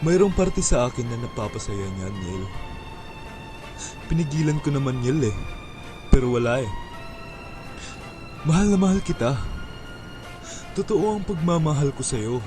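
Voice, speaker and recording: A man playing a guilty, apologetic boyfriend pleading with his partner in a radio drama. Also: fairly high pitch. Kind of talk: amateur radio drama acting.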